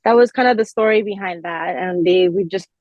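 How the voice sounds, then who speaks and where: A woman's voice: lowish voice, brisk, a little mellow, no room sound. A woman in her twenties from Nigeria who grew up mostly in Canada, chatting casually as a guest in a podcast interview. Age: 20s. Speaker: podcast guest, fashion designer.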